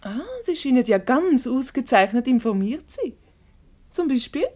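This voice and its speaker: A woman's voice: high voice, lively intonation, normal speed, darkish tone. A woman playing an unflappable, witty married lady in a Swiss German radio comedy, with a breezy, teasing, ironic manner. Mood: cool, ironic. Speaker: radio play actor.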